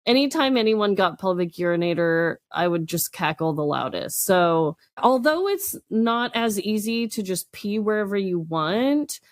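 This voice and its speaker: A woman's voice: mid-range voice, very animated, a little slow, hardly any pauses, no room sound, clean studio sound. A woman co-hosting a comedic podcast, chatting casually in a giggly, playfully teasing way. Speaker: podcast co-host.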